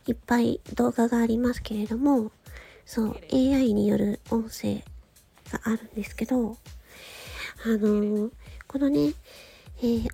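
A woman hosts her own casual audio show. Her voice sits on the high side, with a gentle, dark-soft tone and a breathy, husky edge. She talks as if thinking aloud, conversationally and without a script. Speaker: host of a solo audio show. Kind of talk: casual monologue on a chosen theme.

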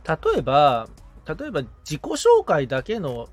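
A man's voice: on the high side, huge pitch swings, measured pace, faint music behind. A man chatting casually and informally as a podcast assistant, thinking aloud as he goes. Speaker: podcast assistant, guitarist receiving advice. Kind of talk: casual chat.

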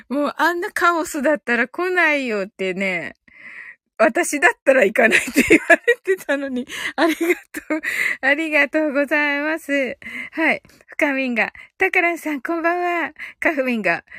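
A woman with a very high, bright voice hosts a casual live audio stream. She chats politely and reads out comments from listeners, often breaking into giggles as she speaks. The sound is dry, with no room echo. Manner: giggly, laughs often while talking. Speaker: livestream host reading out listener comments.

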